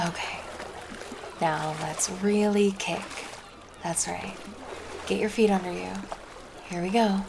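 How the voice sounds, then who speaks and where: Slow-talking, creaky, caring lifeguard woman, acted out by a woman. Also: mid-range voice. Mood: calm, urgent coaching. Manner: soft, intimate, casual, reassuring. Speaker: roleplay voice performer. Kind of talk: roleplay ASMR acting. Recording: background noise under the voice.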